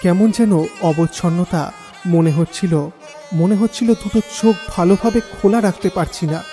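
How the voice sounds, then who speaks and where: A man acts a troubled young man telling his eerie tale in the first person. His voice is a little high and mellow, delivered dramatically in a long reverb over a faint music bed. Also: normal speed. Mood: stunned grief. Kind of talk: dramatic first-person horror story narration.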